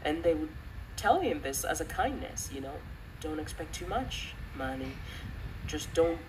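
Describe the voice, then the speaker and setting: A young woman giving personal commentary in an earnest, reflective way, halting with pauses. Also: sits low, very animated. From Western country, of Rwandan descent. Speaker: channel host giving commentary. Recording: distant mic, clearly noisy background.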